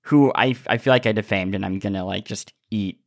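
A man's voice: lowish voice, fairly quick, vocal fry, no room sound, studio quality. A man co-hosting a podcast in casual chat, playful and given to exaggeration. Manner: playful, hyperbolic. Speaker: podcast co-host.

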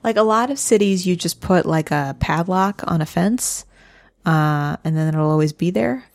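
Woman on a podcast, lots of fry, dry close sound, chatty plot recap. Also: lowish voice, moderate pace, no echo. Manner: narrates the plot step by step, chatty. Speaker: podcast co-host. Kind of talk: casual recap chat.

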